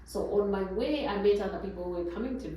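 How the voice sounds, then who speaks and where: A woman's voice: medium pitch, fast talker, dark tone, distant mic, a little hiss or hum. A middle-aged woman speaks with cheerful, conversational ease and laughs often. Her mid-range voice echoes heavily around the room, picked up from well away from the mic. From Zimbabwe. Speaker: immigration lawyer. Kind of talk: conversational storytelling.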